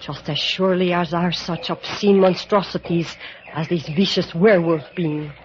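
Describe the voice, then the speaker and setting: A woman's voice: lowish voice, fairly even intonation, moderate pace, natural voice. A woman playing a grave, pessimistic guide with a formal, foreboding manner in an old radio drama. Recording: muffled, noisy vintage radio recording.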